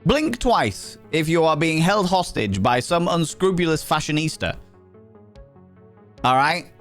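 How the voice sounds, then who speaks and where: A man's voice: fairly high pitch, sing-song, a little slow, a bit bright, faint music behind. A man with a Yorkshire accent, chatting casually with his live-stream audience, cheeky and teasing, swearing freely. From Yorkshire, England.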